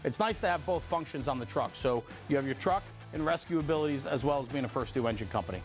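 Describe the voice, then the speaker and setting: A man's voice: mid-range voice, expressive, fast talker, soft background music. A man hosting a walkaround interview, speaking enthusiastically and affirming points as he recaps before asking. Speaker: interviewer and host. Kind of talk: on-site walkaround interview about a fire truck.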